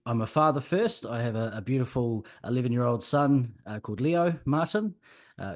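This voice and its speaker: A man's voice: medium pitch, a little mellow. A man in his forties with a New Zealand accent, a podcast guest telling his story in a friendly, easygoing way with plenty of fillers. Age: 40s. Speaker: guest, electrician and business owner. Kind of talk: casual podcast chat.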